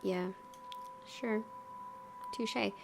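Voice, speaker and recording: A woman's voice: mid-range voice, very slow, gravelly, slight background noise. A woman hosting a let's play, commenting casually in a chatty, giggly, rambling way. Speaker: gaming video host. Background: soft game music.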